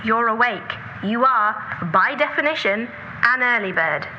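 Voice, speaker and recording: A woman playing a bossy, quick-witted caller in an audio drama, brisk and teasing over a phone. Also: on the high side, animated, lo-fi sound, slight background noise.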